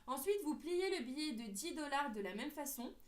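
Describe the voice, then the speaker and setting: A woman's voice: sits high, animated, normal speed. A young woman in her late teens, explaining things earnestly in a casual group discussion and hesitating often. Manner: earnest, hesitant with many fillers. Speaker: co-host presenting theories. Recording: echoey room.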